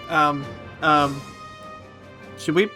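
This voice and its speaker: A man's voice: a little high, steady tone, extremely slow. A man speaking casually as a player in a tabletop game. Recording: music playing behind the voice.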